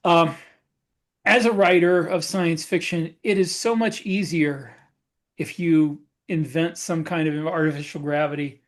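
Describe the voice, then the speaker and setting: A man with a fairly high voice talking casually on a panel podcast. He makes his points with emphasis, in the plain conversational way of a co-host. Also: fairly even intonation, measured pace. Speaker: podcast co-host and science fiction author.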